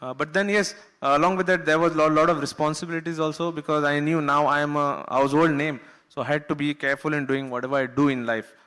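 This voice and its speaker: A man's voice: on the high side, fairly even intonation, quick pace, a bit bright, clear, resonant tone. A man with an Indian English accent, a former cricketer from Goa, recalling his career on a panel in an earnest, chatty way. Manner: earnest, uses filler "you know", laughs while telling stories. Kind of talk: panel discussion anecdote. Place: conference hall.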